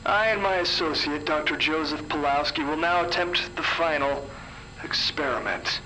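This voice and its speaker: Stern male scientist, formal and exact, pitched a bit up, as a male narrator puts him on. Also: moderate pace, bright, forceful tone, soft background music, rough recording. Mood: solemn. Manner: precise, formal recitation of numbers.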